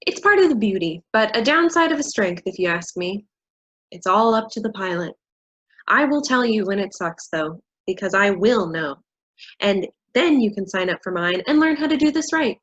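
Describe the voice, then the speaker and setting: A woman's voice: medium pitch, animated, moderate pace, a bit bright, muffled recording. A woman acting an angry, bossy stickler for rules in a staged play reading, scolding and insistent. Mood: scornful, superior.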